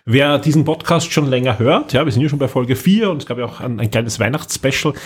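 A man's voice: mid-range voice, a little fast, breathy edge. A man with an Austrian accent, hosting a podcast in a casual, chatty style. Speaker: podcast host. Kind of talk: casual moderated chat.

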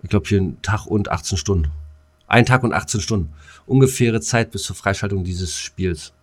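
A man's voice: on the low side, moderate pace. A man with a German accent chatting as a podcast co-host in a rambling, slangy way that jumps between thoughts. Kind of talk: casual chat.

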